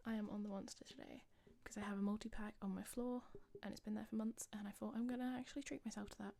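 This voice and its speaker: Chatty woman, slightly husky. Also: mid-range voice, fairly even intonation, fast talker, warm tone, no room sound. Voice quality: slightly husky, losing her voice. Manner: rambling, chatty, self-deprecating. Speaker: gaming channel host. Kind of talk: casual voice-over commentary.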